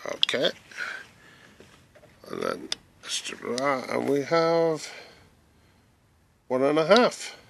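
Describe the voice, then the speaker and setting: A middle-aged man chatting casually and haltingly as he demonstrates gear, thinking aloud. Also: medium pitch, wildly swooping pitch, vocal fry, fairly bright tone. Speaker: hobbyist presenter demonstrating radio gear. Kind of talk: casual hands-on demonstration chat. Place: small room at home and back garden. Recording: noisy amateur camera audio.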